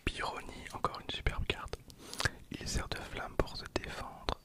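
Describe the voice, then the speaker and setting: A man speaks in a breathy, unvoiced whisper, giving informal ASMR commentary. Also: unhurried. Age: 20s. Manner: informal, murmured running commentary. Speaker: ASMR video creator. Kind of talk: whispered ASMR with casual commentary on trading cards.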